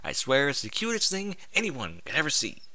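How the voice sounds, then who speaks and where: A man reading a first-person story aloud in an expressive, informal way, as if acting out the narrator's thoughts. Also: mid-range voice, a little fast, no room sound. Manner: expressive, informal, sweary interjections. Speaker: fan fiction reader.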